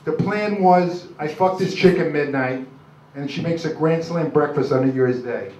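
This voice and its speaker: An older man with a New York accent does halting, self-deprecating, rambling stand-up comedy. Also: fairly high pitch, a little mellow, far from the mic. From Brooklyn, New York, USA. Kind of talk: live stand-up comedy, crude jokes. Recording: dull, noisy, echoey club recording from afar.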